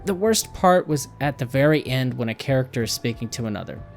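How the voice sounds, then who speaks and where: A man's voice: mid-range voice, lively intonation, a little fast, vocal fry. A man reading a scripted video game review as a voice-over narration. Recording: music behind the voice. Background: background music.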